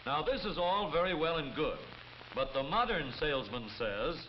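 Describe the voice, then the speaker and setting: A man's voice: fairly high pitch, lively intonation, normal speed, a little hiss or hum. A man narrating a 1930s promotional film in a declarative, oratorical style. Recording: dull vintage 1930s film soundtrack. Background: loud background music.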